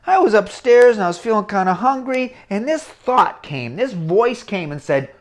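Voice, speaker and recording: A man voicing an earnest little girl who eagerly explains herself to her mother. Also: high-pitched.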